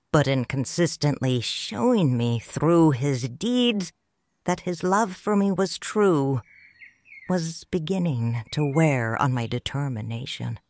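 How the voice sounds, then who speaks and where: Low-voiced woman narrating slowly. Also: dry recording. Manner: tender, reflective, first-person storytelling. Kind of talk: audiobook narration. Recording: dry studio voice with soft music.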